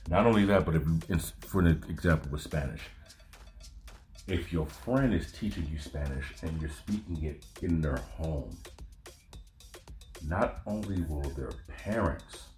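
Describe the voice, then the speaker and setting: Deep-voiced man ranting in an echoey room. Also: a little slow, darkish tone, soft background music. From Northern California. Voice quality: profane, conversational rant. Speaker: podcast host. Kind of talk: casual monologue.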